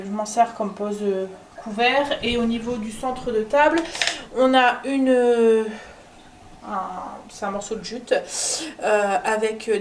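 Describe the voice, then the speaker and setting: A woman vlogging casually from home, with a stuffy nose from a cold, chatting with lots of filler pauses. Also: fairly high pitch, reverberant, a little hiss or hum. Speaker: family vlogger. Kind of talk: casual home vlog chat.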